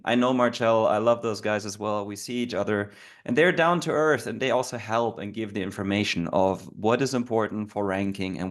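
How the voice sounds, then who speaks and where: A man hosting an informal interview, talking casually to viewers and his guest, often repeating and interrupting himself. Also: on the low side. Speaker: host.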